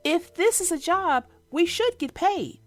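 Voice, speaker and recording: A woman's voice: extremely high pitch, animated, unhurried, soft background music, pitched above the performer's own voice. A woman voicing an eager, curious little girl bear cub in a children's storybook, with an insistent, questioning manner.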